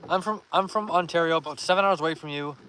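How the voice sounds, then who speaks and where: A young man hosting a live fishing stream outdoors, reading viewer comments aloud and giving upbeat shout-outs in a relaxed voice. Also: fairly high pitch, quick pace, a little hiss or hum. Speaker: fishing livestream host.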